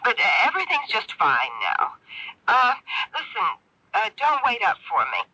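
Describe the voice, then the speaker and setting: An actress playing a brisk middle-aged woman who is placating and breezy, in a radio mystery drama. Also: on the high side, very animated, sharp tone, slightly rough, dry recording, heavily acted, low-quality recording. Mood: breezy, reassuring.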